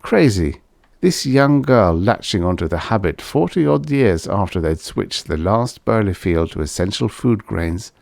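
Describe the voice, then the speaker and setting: A man's voice: fairly low pitch, expressive, a little slow, vocal fry, darkish tone, no echo, studio quality. A man reading vintage science fiction aloud as an audiobook narrator, in a scripted storytelling style.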